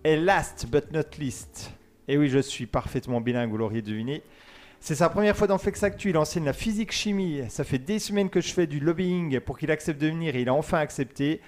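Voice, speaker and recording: A man's voice: on the high side, fairly quick, darkish tone. Male host, light raised voice, playful banter with the panel. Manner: joking, chatty, teasing the guests. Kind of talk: casual student radio show hosting.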